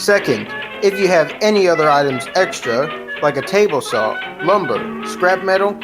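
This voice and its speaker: A woman's voice: sits low, lively intonation, moderate pace. A teenage female student drama performer delivering a scripted appeal to the audience. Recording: music behind the voice.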